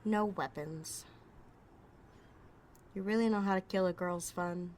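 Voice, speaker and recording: A woman's voice: fairly low pitch, a little slow, darkish tone, lightly acted. A woman playing a cocky, wisecracking outlaw thief with a sassy, sarcastic, foul-mouthed delivery in an ASMR roleplay audio drama. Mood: sulky, teasing.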